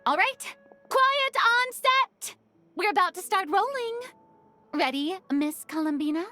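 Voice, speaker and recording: A woman playing an excitable, upbeat young film director in a video game, with a bubbly, emphatic delivery. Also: extremely high pitch, a little slow, dry recording, soft background music, heavily acted. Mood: eager, bossy. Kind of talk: animated video game acting.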